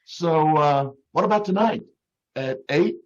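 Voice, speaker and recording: A man's voice: fairly high pitch, measured pace, lightly acted. Man acting a slick flirty salesman, muffled sound. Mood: smooth, hurried. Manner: slick, pet-name-dropping sweet talk. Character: smooth, flirtatious, brash door-to-door vacuum salesman. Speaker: amateur radio troupe actor. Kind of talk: old time radio comedy acting. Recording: dull, lo-fi video call audio.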